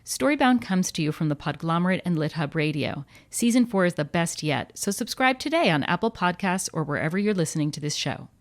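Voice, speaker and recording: A woman's voice: fairly low pitch, animated, fairly quick, hardly any pauses. A woman podcast host giving a scripted, conversational introduction that promotes a show.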